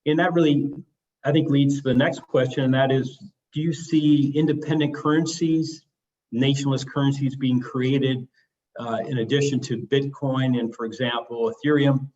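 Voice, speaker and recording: Measured male webinar host, muffled audio. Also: medium pitch, darkish tone. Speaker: webinar moderator. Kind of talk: interview questions. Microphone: video call.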